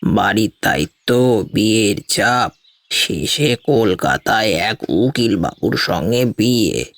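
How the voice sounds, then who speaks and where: Guy playing old village priest in audio story, slow n creaky, sad gentle remembering, music under it. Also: lowish voice, lively intonation, no echo, full character voice. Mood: wistful recollection. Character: elderly village priest recalling the past, gentle and sorrowful. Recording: music bed behind the voice.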